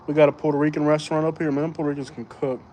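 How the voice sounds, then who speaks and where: A man's voice: a little high, little pitch movement, racing, warm tone, a little hiss or hum, poor audio. A man from Florida hosting a casual late-night live chat. He rambles loosely in a slangy, easygoing way, reading out viewers' comments and answering them. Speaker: livestream host.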